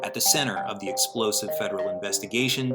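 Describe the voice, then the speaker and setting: A man's voice: fairly low pitch, quick pace. A man, an editor, reading a scripted podcast promo in a presenter's role. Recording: promo with loud music bed. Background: prominent music.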